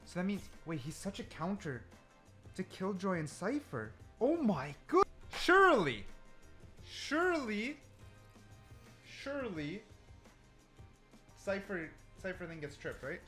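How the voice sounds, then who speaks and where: A man gives excited gameplay commentary with a very lively, swinging pitch. He thinks out loud in exclamations and repeats himself as he goes, with faint music behind him. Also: slow pace, pauses often. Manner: repetitive, exclamatory, thinking aloud. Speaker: gaming content creator testing game mechanics.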